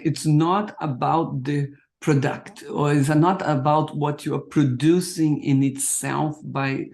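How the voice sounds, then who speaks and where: A woman's voice: sits low, darkish tone. Middle-aged woman host, non-native English. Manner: thoughtful, searching for words, non-native English speaker. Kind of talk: casual video-call interview. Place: home room with plants. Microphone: video call webcam.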